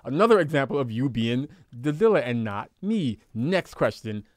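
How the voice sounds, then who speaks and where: A man's voice: medium pitch, sing-song, moderate pace, dry recording, clean studio sound. A young man from Guyana, co-hosting a casual chat, teasing his partner and laughing as he argues. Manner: teasing, laughs often, playfully argumentative. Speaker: podcast co-host. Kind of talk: casual couple banter.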